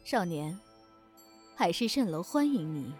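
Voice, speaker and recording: A woman's voice: fairly low pitch, sing-song, unhurried, darkish tone, husky, exaggerated voice. A woman playing a poised, teasing keeper of a magical inn in an audio drama, self-assured and probing. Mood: inviting, mysterious. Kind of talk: Chinese audio drama acting. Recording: faint music behind the voice.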